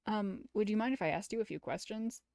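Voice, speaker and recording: A woman's voice: medium pitch, a little fast, mellow, dry recording, lightly acted. A woman reads as an earnest young man from a visual novel, easily flustered and awkward. The delivery is apologetic and rambling, with a dry, close sound. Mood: polite, awkward.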